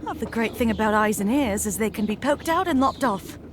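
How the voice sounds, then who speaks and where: A woman's voice: a little high, expressive, brisk, noisy background, natural voice. A woman voicing a cheeky, blunt young female rabbit farmhand in a fantasy game, with a teasing, sardonic manner. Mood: bitter, sly. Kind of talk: visual novel voice acting.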